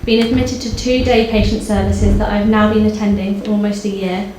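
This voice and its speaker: Female author, twenties, mid pitch, prepared personal speech in a reverberant hall. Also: level tone, a little fast. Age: 20s. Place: indoor live launch event. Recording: very echoey hall, faint background noise.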